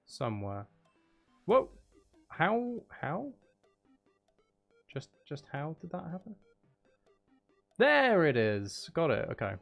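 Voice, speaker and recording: A man's voice: fairly high pitch, wildly swooping pitch, slow, creaky, pauses often, soft background music. A man giving casual livestream commentary on a video game, talking to himself with mutters and exclamations. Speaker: game streamer.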